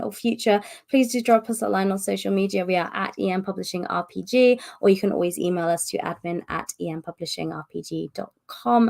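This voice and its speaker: A woman hosts a casual live-stream update, talking in a loose, rambling way with plenty of fillers and restarts. Her voice is gravelly and a little strained from a recent cough. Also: medium pitch. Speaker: host of publisher's live stream.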